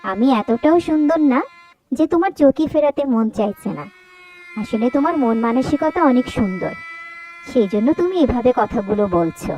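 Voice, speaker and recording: A woman plays a loving, supportive young wife in a cartoon. She speaks in a fairly high voice, sweetly and chattily. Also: animated, moderate pace, warm tone, soft background music, lightly acted. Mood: sweet, pleased. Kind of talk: Bengali cartoon story dialogue.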